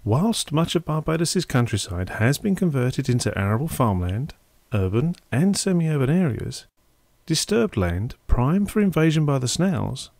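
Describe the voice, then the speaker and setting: A man giving scripted narration for a public information film in a measured, informative way. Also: mid-range voice, vocal fry, a little mellow, dry recording. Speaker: documentary narrator.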